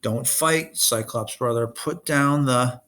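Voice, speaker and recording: A man reads the part of a young Cyclops in a storybook. He is loyal, brave and gentle, with short, simple sentences and a creaky edge to his voice. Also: mid-range voice, slow. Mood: gentle, calming.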